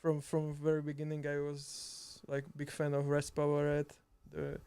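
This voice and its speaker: A Slovak man chatting in halting, hesitant English, full of restarts and laughter, sitting a bit far from the mic. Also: on the high side, monotone, creaky, warm tone, smooth. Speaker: streamer and video editor guest. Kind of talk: casual chat. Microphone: too far from the mic.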